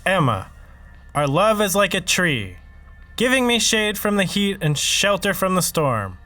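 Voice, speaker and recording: A man's voice: sits high, big pitch swings, a little slow, bright, forceful tone, soft background music, heavily acted. An actor playing an earnest, sappy young man about to propose, in an audio sitcom. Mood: earnest, romantic. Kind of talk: sitcom audio drama acting.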